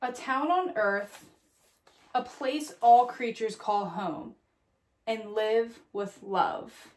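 A woman's voice: fairly high pitch, extremely slow. A woman around her thirties, a teacher reading a picture book aloud to children and talking to them directly with little questions. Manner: addresses young listeners directly with questions and tag "right?". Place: small indoor room at home or classroom corner.

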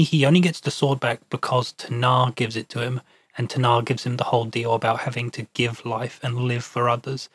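A man with a mid-pitched, fairly even voice chats about film as a podcast panelist. The recording is dry, with no room sound. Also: no room sound. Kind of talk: casual film discussion.

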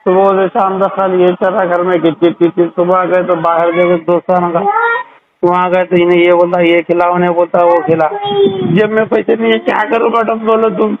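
A man's voice: high voice, level tone, a little fast. A man with a Hyderabadi accent rambling through exasperated, repetitive complaints over the telephone. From Hyderabad Old City, India. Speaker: called customer. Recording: noisy narrowband phone call recording.

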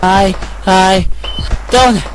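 A woman playing a young male hedgehog hero in an amateur radio play, strained and halting. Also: mid-range voice, fairly even intonation, noisy background. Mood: weak, hurt. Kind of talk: amateur audio drama acting.

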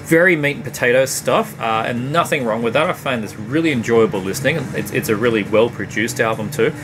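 A middle-aged man chatting casually about his records at home, relaxed and rambling, with many uhs and ums. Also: medium pitch, fairly quick. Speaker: vinyl collection vlogger. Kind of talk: casual record review chat. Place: home room lined with CD shelves. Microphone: camera at desk distance. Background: background hum, faint tape music.

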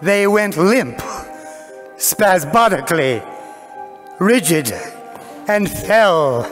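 An older man narrates a stage show at a lectern microphone in a large church hall, with music behind him. His voice is high for a man and extremely slow, each phrase set apart by dramatic pauses. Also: expressive. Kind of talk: theatrical scripted narration.

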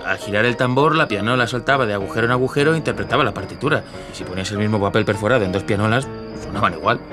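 An actor playing a teasing, sceptical composer in a radio drama, bantering theatrically. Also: male voice, fairly low pitch, very fast, over music, close to an everyday voice. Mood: puzzled. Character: teasing, sceptical male composer, a playful close friend.

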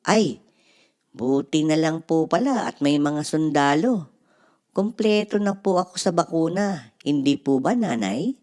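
A woman's voice: sits low, lively intonation, a little slow, a little mellow. A woman playing a helpful, knowing older sister, a young girl who is encouraging and matter-of-fact, in a storybook. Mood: eager.

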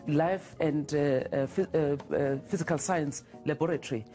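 A middle-aged woman from South Africa speaking as a company head on a TV morning show, measured and halting with many fillers and restarts. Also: low voice, mellow, faint music behind. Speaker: CEO guest. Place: TV studio set.

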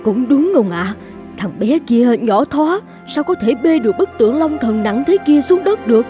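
A woman's voice: on the high side, animated, moderate pace, mellow, soft background music, lightly acted. A woman voicing the bossy, fussy middle-aged wife of a rich official in a folk-tale cartoon. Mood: insistent.

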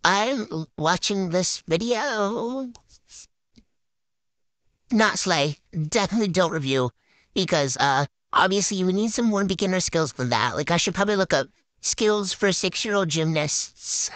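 A giggly woman bantering through a silly game video, her voice bouncing up and down in pitch as she brags in teen slang. She sounds close to the mic in a dead, dry space. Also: lively intonation, unhurried, a bit bright, no echo. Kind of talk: playful improvised banter voicing game characters.

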